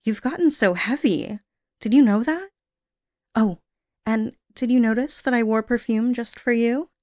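A woman's voice: fairly high pitch, a little slow, a little mellow, no echo, clean studio sound, natural voice. A woman playing a cooing, obsessive young lover. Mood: sweet creepy. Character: obsessive unstable young woman, clingy and menacing. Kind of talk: audio roleplay monologue.

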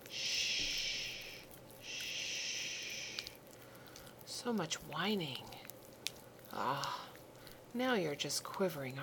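Woman, low and unhurried, soft coaxing whisper, audible background hiss. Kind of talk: intimate audio roleplay.

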